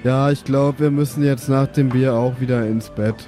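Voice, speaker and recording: A man's voice: mid-range voice, fairly even intonation, moderate pace, dark tone, soft background music. A man chatting in character as a player in an improvised tabletop role-playing game.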